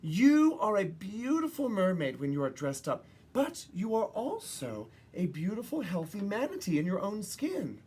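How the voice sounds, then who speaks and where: Woman voicing a high, encouraging manatee mother. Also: huge pitch swings, normal speed, hardly any pauses, dark tone, breathy edge. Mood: reassuring. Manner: reassuring, emphatic.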